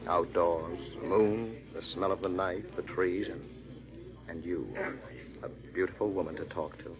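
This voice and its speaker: A man's voice: sits low, fairly even intonation, unhurried. A man playing a suave, forward French nobleman in a 1940s radio drama, courtly and seductive in his talk. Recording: dull, muffled old radio recording.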